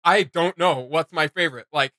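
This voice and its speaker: Guy doing a casual reaction vid, kinda high punchy voice, really animated and opinionated, super dry sound. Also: moderate pace, no room sound. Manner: animated, emphatic, slangy. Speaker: reaction video host.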